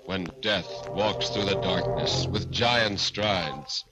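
A man's voice: low voice, takes it slowly, poor audio. A man narrating and announcing a 1940s radio show in a formal, ominous, scripted style. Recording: 1940s radio broadcast recording. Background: music.

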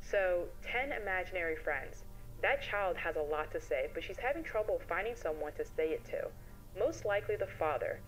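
Woman playing a calm lady therapist on horror tapes, gentle and measured, faint music under her. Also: fairly low pitch, lightly acted, rough recording. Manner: gentle questioning, measured note-taking. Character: composed female child therapist on session tapes. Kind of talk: analog horror tape acting. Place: played back from a horror video.

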